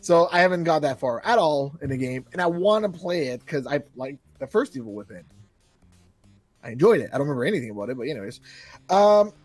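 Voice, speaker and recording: A young man co-hosting a gaming podcast in casual chat, jokey and animated, slipping into silly voices for stories. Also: fairly high pitch, fast, no room sound, faint music behind. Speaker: podcast co-host.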